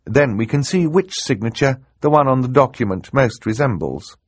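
A man's voice: medium pitch, lively intonation, normal speed, husky, no room sound, voice pushed up. A man playing a clever, determined teenage girl, polite but assertive and precise, in an audiobook acting style. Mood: confident.

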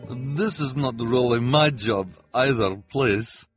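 A man's voice: mid-range voice, slow, soft background music, pitched above the performer's own voice, close to an everyday voice. A man voicing a nervous carnival fortune-teller woman in a storybook, speaking broken English. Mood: nervous protest. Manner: broken English with dropped articles, anxious.

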